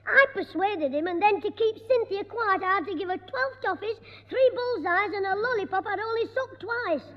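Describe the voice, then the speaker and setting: Cheeky schoolboy, very high piping voice, bossy, old echoey radio sound. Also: male voice, moderate pace, a little hiss or hum. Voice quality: piping boyish voice. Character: cheeky scheming small schoolboy. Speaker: star of the show. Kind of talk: 1960s radio sitcom acting. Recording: echoey old radio studio recording, dull.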